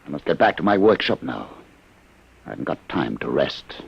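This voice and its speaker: An actor playing a weary, haunted older refugee inventor, courteous and grave, with a husky, gravelly voice, in old radio drama. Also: male voice, a bass voice, very animated, normal speed, a little hiss or hum, heavily acted. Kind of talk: 1950s radio drama acting. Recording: dull vintage radio recording.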